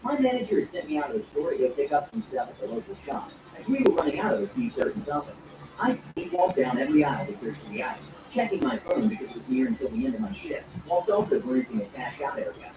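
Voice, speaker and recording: Fast tts voice reading reddit-type stories, bouncy pitch, coming from a tv across an echoey room. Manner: flat synthetic reading that spells out abbreviations. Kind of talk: text-to-speech reading of online stories. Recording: distant echoey speaker audio, dull and noisy.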